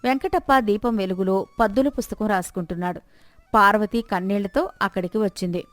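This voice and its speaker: A woman's voice: mid-range voice, a little fast, no echo. A woman narrating a scripted moral story as a storyteller.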